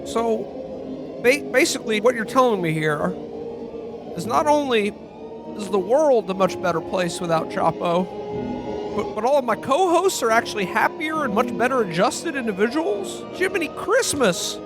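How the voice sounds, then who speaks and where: Man playing a very high-pitched, melodramatic podcaster. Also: sing-song, moderate pace, over music, full character voice, poor audio. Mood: bewildered, distraught. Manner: stammering, melodramatic. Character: distraught, melodramatic podcaster shown a world without him. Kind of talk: comedic radio play acting.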